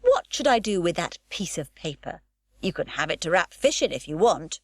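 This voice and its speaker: A woman's voice: on the low side, big pitch swings, moderate pace, no room sound, clean studio sound. A woman voicing a cheeky, supremely strong little girl who talks in a breezy, cocky way. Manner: breezy, cocky, matter-of-fact.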